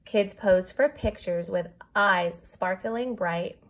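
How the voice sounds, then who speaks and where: A woman's voice: medium pitch, slow, low-quality recording. Woman reading a bedtime story to children. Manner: expressive, speaking directly to young children. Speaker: kindergarten teacher. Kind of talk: animated read-aloud of a children's picture book with chatty asides.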